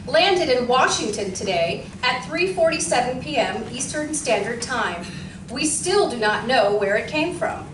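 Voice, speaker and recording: A woman's voice: high-pitched, far from the mic. A woman playing a tense on-the-scene news reporter in a radio drama, delivering an urgent, dramatic bulletin. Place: community room of a library, live performance. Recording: echoey, noisy room recording from afar.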